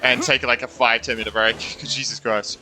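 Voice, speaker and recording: A man's voice: mid-range voice, steady tone, fairly quick, pushed and bright. A young man casually commenting while playing a game and reading its dialogue aloud, his voice tired and strained. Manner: chatty, reads game dialogue aloud, says bro a lot. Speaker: gaming streamer. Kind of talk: casual let's-play commentary. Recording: game music underneath.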